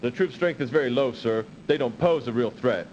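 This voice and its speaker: Male actor as cautious military second-in-command for game cutscenes, medium pitch, dutiful, slightly noisy old audio. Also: darkish tone, lo-fi sound, close to an everyday voice. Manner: formal, measured briefing. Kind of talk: dramatic video game cutscene acting.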